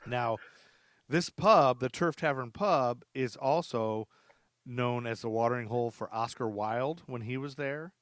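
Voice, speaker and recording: A man's voice: medium pitch, unhurried, dry recording. A man hosting a casual history podcast, rambling through a story and laughing often. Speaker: podcast host.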